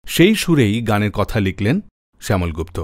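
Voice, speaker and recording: A man's voice: on the low side, very animated, a little fast. A man presenting a radio music show, narrating stories from a script.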